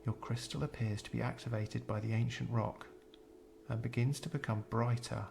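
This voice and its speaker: A man narrating a scripted guided meditation in a measured, soothing, gentle way, pausing between phrases. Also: mid-range voice, steady tone, creaky.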